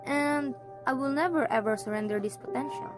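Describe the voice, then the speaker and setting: A woman's voice: high-pitched, fairly quick, natural voice. A woman playing a proud, determined female student in an amateur radio drama. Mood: proud, passionate. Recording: music behind the voice.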